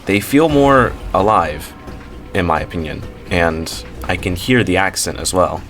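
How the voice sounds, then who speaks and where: A man with a deep voice rambles through a casual opinion commentary, often correcting himself and filling pauses. Faint music plays beneath his talk. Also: expressive, fairly bright tone. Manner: rambling, self-correcting, many fillers. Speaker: commentary channel host.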